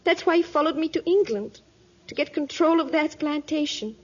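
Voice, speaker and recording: A woman's voice: high-pitched, moderate pace, natural voice. A woman playing an earnest, distressed young planter's daughter in breathless, emotional 1950s radio drama style. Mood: distressed, grieving. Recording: dull vintage 1950s radio recording.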